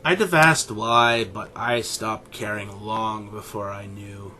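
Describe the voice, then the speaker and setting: A pompous, middle-aged scientist, acted by a man for an audio drama, holds forth in a lowish voice. He is condescending and deliberate, an arrogant animal character, with light music playing behind him. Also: fairly even intonation, bright, forceful tone. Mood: detached, proud. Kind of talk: scripted fan audio drama.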